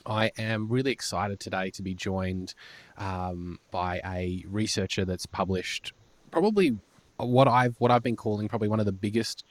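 Low-voiced male podcast host, halting delivery. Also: steady tone, dry recording. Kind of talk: enthusiastic conversational interview.

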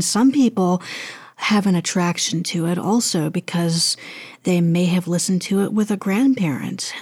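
Lady guest on an interview show, lowish voice, dry close sound, picks her words slowly as she thinks. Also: mellow, no room sound. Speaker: interview guest, audio drama producer and voice actor. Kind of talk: conversational interview.

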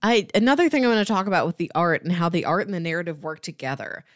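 A woman's voice: fairly low pitch, expressive, quick pace, no room sound, clean studio sound. A woman co-hosting a podcast, chatting casually about books and acting out bits as she goes. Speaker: podcast co-host discussing comics. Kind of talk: casual chat, book review.

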